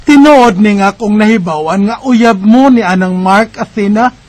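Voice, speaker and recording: An actress playing a meddling family confidante in a radio drama, with a Filipino Bisaya accent. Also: female voice, mid-range voice, normal speed, darkish tone, lightly acted. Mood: stern.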